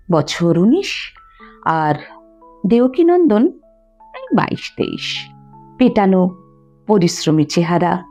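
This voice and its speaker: Mellow, expressive woman storyteller. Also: mid-range voice, dull audio. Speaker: storyteller, reader. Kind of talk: expressive narration of a short story. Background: faint music.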